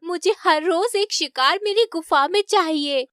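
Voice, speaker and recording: Woman voicing a high, sly, mocking fox. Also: slow pace, fairly bright tone, no echo, studio quality, full character voice. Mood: gloating menace. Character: sly mocking female fox reading a tyrant's threat. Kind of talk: animated moral-story cartoon voice acting.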